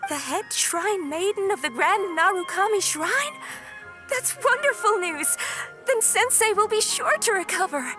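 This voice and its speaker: A woman's voice: very high voice, animated, a little slow, music in the background. A woman playing an earnest, agitated young sword disciple in a video game, emotional and insistent. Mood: excited, defensive.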